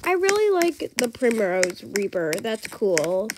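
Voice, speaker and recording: A young woman with a stuffed-up, congested voice talks her way through a game, unhurried and drawn out. Her voice sits fairly high, and she fills her sentences with "like". Steady background noise hums under the recording. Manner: chatty, slow-paced, says "like" often. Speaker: gaming channel host. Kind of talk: casual gameplay commentary.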